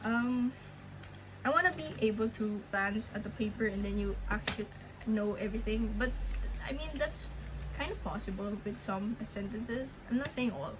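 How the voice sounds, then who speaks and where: A young woman with a Filipino accent, Filipino her native tongue, talking slowly and rambling, with many restarts, in a casual vlog update. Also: a little high. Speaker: language learning vlogger. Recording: clear background noise.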